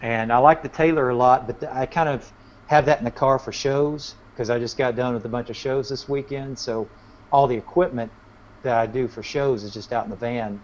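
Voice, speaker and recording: A man's voice: mid-range voice, steady tone, a little fast, a little hiss or hum. A man chatting casually as a musician guest in an interview, telling anecdotes in a rambling way. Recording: dull, lo-fi audio.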